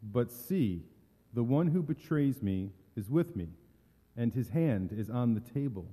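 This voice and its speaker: A man acts out a gentle, solemn holy teacher in a dramatic scripture reading. The voice is very dark and mellow, middle in pitch, with subdued, earnest delivery. Long reverb of a hall surrounds it. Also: expressive, a little slow, lightly acted.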